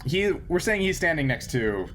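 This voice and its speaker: A man's voice: a little high, normal speed, faint music behind. A man running a tabletop game as game master, narrating casually with many fillers. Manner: relaxed, filler-heavy narration.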